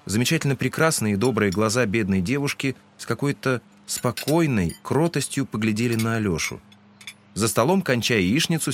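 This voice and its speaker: A man reading scripted literary narration as the narrator of a dramatized audiobook. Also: on the low side.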